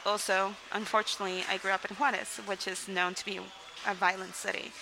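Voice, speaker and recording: Young woman from Mexico, interview guest, open and rambling, steady mid-range pitch, noisy recording. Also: brisk, gravelly. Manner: candid, rambling, frequent "like" and "um", laughs between sentences. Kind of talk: casual interview storytelling.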